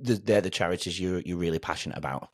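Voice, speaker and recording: A man's voice: low-pitched, fast talker, no echo, clean studio sound. A middle-aged man, interviewing conversationally as a podcast host. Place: small room with shelves, indoors, on a video call.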